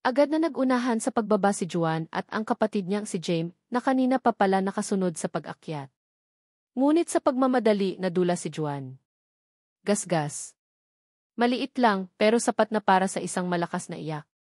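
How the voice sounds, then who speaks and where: Filipina lady narrating a dramatic story, mid pitched voice, really dry recording. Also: expressive, moderate pace, a little mellow, no echo.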